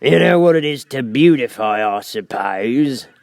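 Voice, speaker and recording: A man's voice: mid-range voice, lively intonation, a little slow, vocal fry, no room sound, voice pushed up. A man voicing a brusque, scolding gryphon beast in a storybook reading, blunt, impatient and bossy. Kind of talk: storybook character voice.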